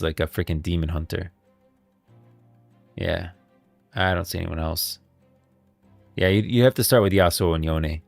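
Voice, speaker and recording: A man's voice: deep voice, no echo. A man hosting a livestream, talking casually about game lore and rambling as he thinks aloud. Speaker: livestream host.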